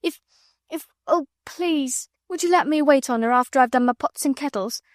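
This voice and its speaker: A woman's voice: sits high, moderate pace, no echo, clean studio sound, pitched above the performer's own voice, lightly acted. A woman doing a sobbing scullery maid. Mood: tearful pleading. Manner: pleading, sniffling, breathless. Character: tearful timid young scullery maid, cockney.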